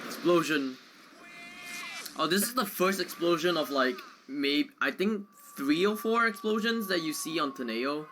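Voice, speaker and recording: A man's voice: fairly high pitch, animated, a little slow, a little hiss or hum. A man chatting casually while he plays a video game live, commentating in short asides. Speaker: speedrunner playing and commentating. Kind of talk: casual live gameplay chat. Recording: loud music behind the voice. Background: video game music.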